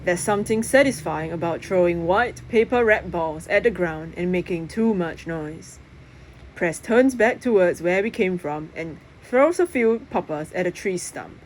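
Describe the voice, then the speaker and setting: A woman's voice: fairly low pitch, expressive, unhurried, low-quality recording. Woman reading a story over background noise. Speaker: audiobook reader. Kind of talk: expressive storybook narration.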